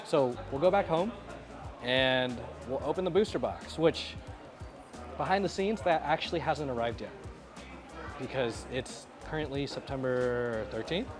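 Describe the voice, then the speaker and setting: Chill guy vlogging about his cards while out, chatty and easy, regular voice, music going clearly behind. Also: mid-range voice, unhurried. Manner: chatty, laid-back, laughing easily. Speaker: card-collecting vlogger. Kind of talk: casual vlog talk to camera.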